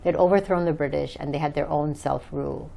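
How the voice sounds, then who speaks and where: Low, very creaky-voiced woman from Bangladesh. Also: steady tone, fairly quick, dark tone, rough recording. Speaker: interview guest, author and English teacher. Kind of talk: conversational interview answers.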